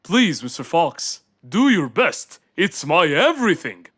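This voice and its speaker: Casting: performer as fretful royal male animal for kids' cartoon, plaintive, slow and dramatic, lively pitch. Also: breathy edge, heavily acted. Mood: anxious, pleading. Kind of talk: children's cartoon character acting. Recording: music behind the voice.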